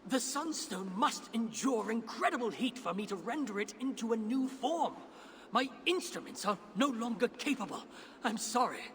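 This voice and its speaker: An actor playing an older dwarf smith, learned and earnest, speaking formally and with nervous deference in a video game. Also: male voice, very high voice, expressive, normal speed, fairly bright tone, a little hiss or hum, full character voice. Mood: nervous, apologetic. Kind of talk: video game cutscene acting.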